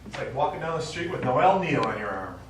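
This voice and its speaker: An actor playing an elderly, stubborn widower and war veteran, reminiscing in a wry, rambling way in a stage play. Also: male voice, mid-range voice, big pitch swings, a little fast, natural voice. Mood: nostalgic, wry. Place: small theatre stage, recorded from the audience. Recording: echoey small theatre, distant mic, faint noise.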